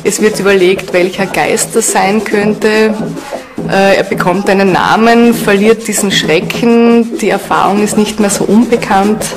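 A woman with a mid-pitched voice explains as an interviewed expert. Music is clearly audible behind her. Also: steady tone, a little slow.

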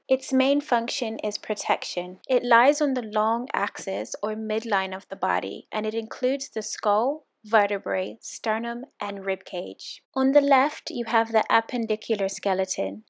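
A woman instructor narrating a scripted anatomy lesson over slides, in a clear, steady, explanatory way. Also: a little high. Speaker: fitness course instructor. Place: voice-over, not the pictured slides.

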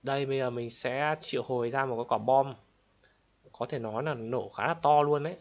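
A man hosting gameplay in an exclamatory, excited commentary style, addressing viewers like friends. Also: medium pitch, fairly quick, lo-fi sound. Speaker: gaming video host. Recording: loud game gunfire and clicks behind. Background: game gunshots, explosions and clicks.

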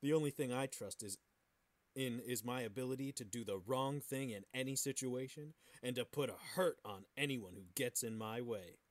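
A man's voice: medium pitch, moderate pace. A man co-hosting a podcast, bantering casually and reading aloud. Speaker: podcast host.

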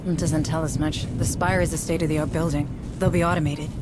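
A woman's voice: low-pitched, level tone, a little fast, noisy background, natural voice. A woman playing a serious, guarded corporate administrator in a dramatic game, speaking with clipped, firm authority. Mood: stern, serious. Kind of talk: dramatic video game cutscene acting.

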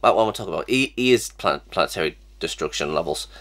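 Young male host with a cold, fairly low voice, halting and repetitive delivery, recorded at a desk webcam. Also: expressive, fairly bright tone. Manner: stammering, many restarts and fillers. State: has a cold, sniffly. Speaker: reaction channel host. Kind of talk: casual reaction vlog. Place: small cluttered room indoors.

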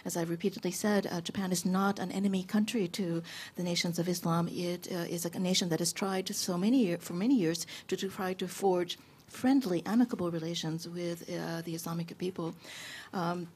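A woman's voice: on the low side, fairly even intonation, a little fast, a little mellow, breathy edge. A woman interpreting at a press conference, hesitant, with many fillers as she translates. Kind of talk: consecutive interpretation.

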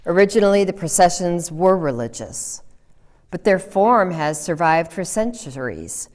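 Middle-aged woman preaching in a reverberant church. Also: on the low side. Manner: earnest preaching, drops to a hushed whisper for emphasis. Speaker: Lutheran pastor. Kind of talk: sermon. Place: church chancel, indoors. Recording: long hall reverb in a church.

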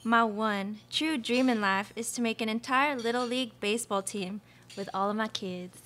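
A young woman performs a sweet belle for a radio comedy. She gushes and chatters obliviously in a fairly high voice. Her is sugary. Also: normal speed, fairly bright tone, slight background noise, lightly acted. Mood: sugary, gushing. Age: young adult. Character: gushing, baby-crazy sweet Southern belle Peaches. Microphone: stage microphone on stand.

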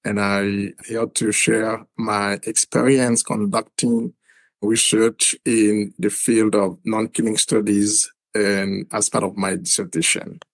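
Slow Haitian-accented man, halting. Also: fairly low pitch, flat delivery, dry recording, rough recording. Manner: halting, many repeated words. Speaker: guest. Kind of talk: interview answers.

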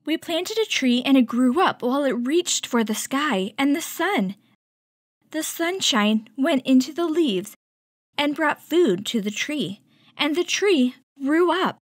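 A woman hosting a children's story time, reading a picture book aloud and teaching with clear, instructive delivery. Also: high-pitched, expressive, unhurried, no room sound, clean studio sound. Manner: clear, instructive, addressing young children with questions.

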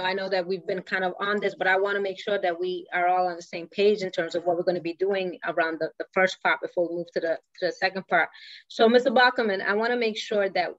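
A woman's voice: mid-range voice, a bit bright, no room sound. Woman, casual and hedging, slightly dull lo-fi sound, working group member on a video call. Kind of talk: video call meeting comment.

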